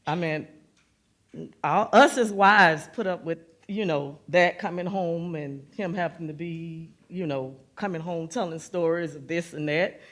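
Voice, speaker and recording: A woman's voice: fairly low pitch, measured pace. A woman in the audience, a veteran's wife, speaking informally about her family's experience in a rambling, storytelling way. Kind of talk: informal remarks at a forum.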